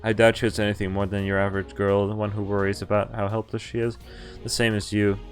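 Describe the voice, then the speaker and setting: Man acting a desperate, protective game hero, lowish voice. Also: flat delivery, quick pace, lightly acted. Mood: insistent, pleading. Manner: urgent, insistent, pleading. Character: desperate, protective young male hero of a visual novel. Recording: music behind the voice.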